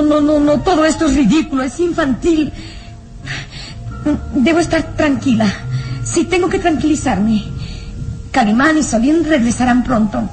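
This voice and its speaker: Fearful young noblewoman for radio melodrama: high-pitched, hesitant, emotional, over prominent music. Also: darkish tone, poor audio. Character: anxious young noblewoman, fearful yet defiant heroine. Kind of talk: old radio serial melodrama.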